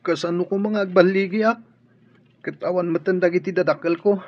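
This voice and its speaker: A high-voiced grieving young student. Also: male voice, steady tone, a little fast. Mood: downcast. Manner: pleading, downcast. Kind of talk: radio drama acting. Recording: dull radio audio under music.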